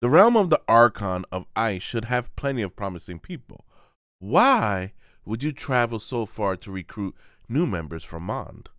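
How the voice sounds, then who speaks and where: A man voicing a suave, sly, easygoing knight in a fantasy manga dub, polite and smooth-talking. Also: fairly low pitch, big pitch swings, moderate pace, a bit bright, dry recording, clean studio sound. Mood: polite probing. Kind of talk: dramatic manga voice-over.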